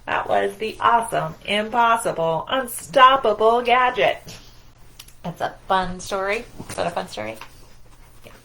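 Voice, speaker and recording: A woman's voice: medium pitch, a little slow, gravelly, pushed and bright, poor audio. A woman hosting a children's read-aloud and singalong, encouraging and asking her young listeners questions. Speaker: library storytime host.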